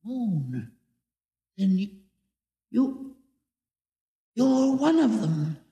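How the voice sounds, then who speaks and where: In an echoey old radio drama, a timid middle-aged man in a panic. His very high voice stammers and pleads frantically. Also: big pitch swings, very slow, mellow, full character voice. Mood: stunned disbelief. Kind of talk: 1950s radio drama acting.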